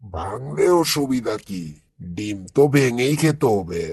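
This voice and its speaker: A man voicing a wise, grandfatherly elderly village uncle in a moral cartoon, husky and measured, with a Bangladeshi accent. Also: mid-range voice, expressive, no room sound, studio quality, exaggerated voice. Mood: gently probing. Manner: measured, instructive, gently questioning. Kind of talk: cartoon moral story dialogue.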